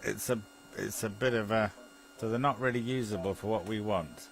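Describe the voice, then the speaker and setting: British man, lowish pitch, amateur radio hobbyist in casual technical chat, halting and repetitive. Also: vocal fry, low-quality recording. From Britain. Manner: hesitant, chuckling, repeats phrases.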